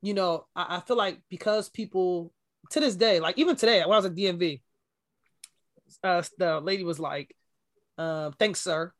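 A woman with a deep voice, co-hosting a podcast and talking candidly in casual chat, with animated storytelling. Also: normal speed. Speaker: podcast co-host.

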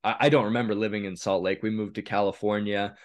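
A man in his thirties from eastern Oregon, a guest on a podcast, talking in a relaxed, halting way with many pauses and a voice a little raspy from a cold. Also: fairly low pitch. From eastern Oregon, USA. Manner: relaxed, hesitant with frequent ums. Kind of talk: casual interview chat.

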